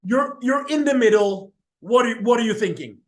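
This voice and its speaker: A man's voice: very high-pitched, lively intonation, moderate pace. A man hosting an interview, asking questions with enthusiasm. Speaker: show host, interviewer.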